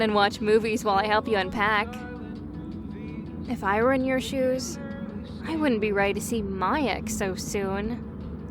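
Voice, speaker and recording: A woman playing a caring, teasing young best friend in an audio roleplay, chatty and a little hesitant as she talks. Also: on the high side. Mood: caring, concerned. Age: young adult. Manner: chatty, hesitant, self-correcting, playfully teasing. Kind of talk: interactive audio roleplay acting.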